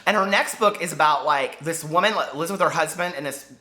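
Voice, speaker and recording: A man's voice: on the high side, fairly quick, bright, forceful tone. An American man chatting casually to camera like a book vlogger, gushing and confiding, wandering off on tangents. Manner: gushing, confiding, digressive, emphatic.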